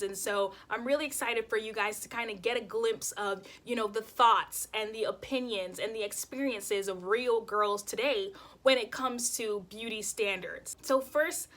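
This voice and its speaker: A woman's voice: on the high side, bright, forceful tone. A young woman hosting her vlog, chatting casually to camera with lots of fillers. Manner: chatty, lots of "you know" and "kind of". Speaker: vlog host. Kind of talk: casual talking-to-camera narration.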